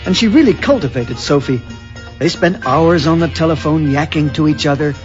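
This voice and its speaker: An actor playing a grumpy, stubborn, aggrieved husband with simmering menace, exasperated and emphatic, in a radio drama. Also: male voice, on the high side, animated, moderate pace, close to an everyday voice. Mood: bitter, wry. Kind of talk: old-time radio drama acting and narration. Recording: dull lo-fi recording with music.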